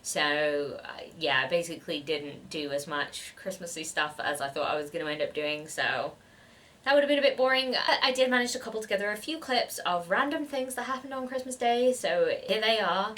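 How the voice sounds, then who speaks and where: A woman's voice: on the low side, a little fast, a bit bright, recorded from a distance, slight background noise. Stuffy-nosed woman vlogging. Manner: rambling, self-deprecating, many fillers. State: nasal from a cold. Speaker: book vlogger. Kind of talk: chatty haul vlog.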